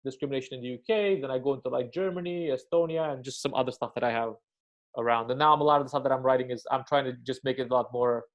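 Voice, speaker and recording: A man from Romania, a comedian whose first language is Romanian, chatting casually and briskly as a podcast guest, with lots of fillers. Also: mid-range voice, muffled recording.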